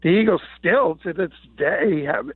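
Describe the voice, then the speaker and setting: Slightly hoarse man on a phone line. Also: high-pitched, animated, slow, no room sound. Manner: confident, opinionated, many "uh" fillers. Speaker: NFL columnist guest. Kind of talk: sports radio analysis by phone. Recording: tinny dry phone line.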